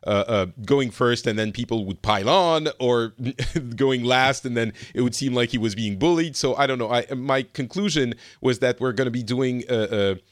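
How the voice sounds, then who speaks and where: A man's voice: medium pitch, normal speed, hardly any pauses, no echo, clean studio sound. A man hosting a casual panel discussion, often searching for his words and chuckling. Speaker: podcast host moderating a panel.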